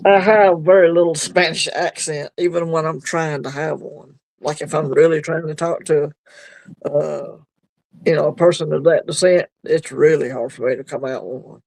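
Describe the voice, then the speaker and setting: A man hosting a casual livestream chat, rambling and easygoing, quick to laugh. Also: a little high, fairly even intonation, vocal fry, poor audio. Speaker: livestream host.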